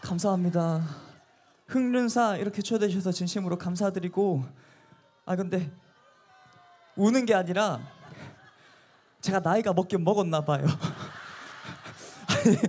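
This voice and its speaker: A man of thirty, a singer, chatting warmly and gratefully with a crowd between songs at an outdoor night concert. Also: sits high, unhurried, pauses often, breathy edge. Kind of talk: stage banter between songs. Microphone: handheld microphone. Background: loud band music.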